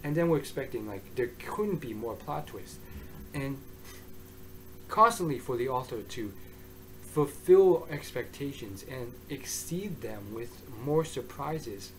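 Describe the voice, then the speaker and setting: A man with a mid-range voice talks through a review in a loose, excitable way, circling back and restarting his thoughts. His voice is hoarse. He sounds like a regular host speaking straight to his viewers. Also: mid-range voice, a little slow, low-quality recording. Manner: excitable, rambling, with many restarts. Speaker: manga reviewer. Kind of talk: casual review monologue.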